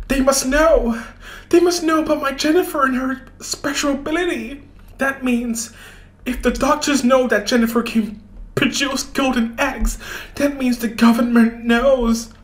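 A woman playing a frantic, enraged mother in a repetitive, demanding, melodramatic roleplay. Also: high voice. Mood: anxious. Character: frantic, enraged mother hunting her stolen daughter. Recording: echoey room.

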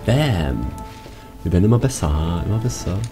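A man's voice: low-pitched, very animated, normal speed. A man giving casual let's play commentary, talking to himself with crude exclamations. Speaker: let's player. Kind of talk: casual video game commentary. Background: video game music.

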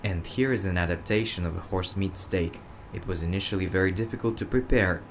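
A man with a very low voice explains his craft as an interviewed chef. A faint ambient noise sits behind him. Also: fairly quick.

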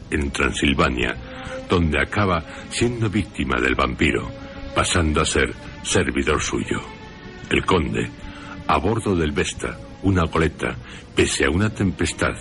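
An extremely deep male voice hosts and narrates a radio drama. He speaks solemnly, leaving deliberate pauses. Music plays clearly behind him.

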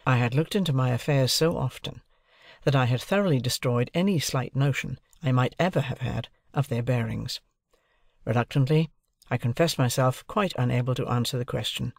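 A man's voice: medium pitch, normal speed, gravelly, no echo, clean studio sound. A man reading scripted literary narration as an audiobook narrator.

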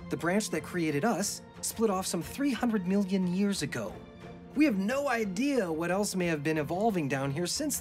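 A man playing an earnest, driven marine biologist father, speaking persuasively and with passion in an audio drama. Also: sits high. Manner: impassioned, persuasive. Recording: music behind the voice.

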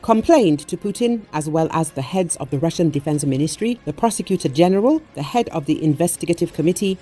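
Mellow low female news narrator. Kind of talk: scripted news voice-over.

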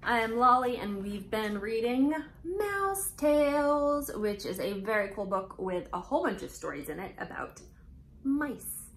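A woman in her thirties reads a picture book aloud to young children as host, with expressive, drawn-out emphasis, recorded indoors on a camera mic. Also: on the high side, faint music behind.

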